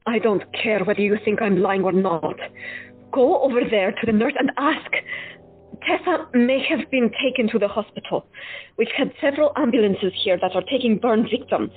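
A woman playing a flustered, high-voiced doctor. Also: normal speed, breathy edge. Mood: defensive. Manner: flustered, emotional. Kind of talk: amateur audio drama acting. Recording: tinny phone-like sound, faint music.

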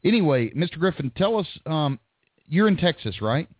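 A man's voice: on the high side, very animated, moderate pace, slight background noise. Chatty male radio host on a tinny line. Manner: chatty, laughs often, frequent ums. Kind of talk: casual call-in radio talk. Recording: dry, tinny phone-like line.

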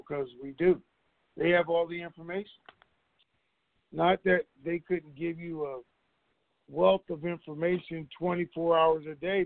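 A man's voice: a little high, dry recording. A man hosting a conference call, talking informally and in a rambling, conversational way to his listeners. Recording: dull, lo-fi conference call line.

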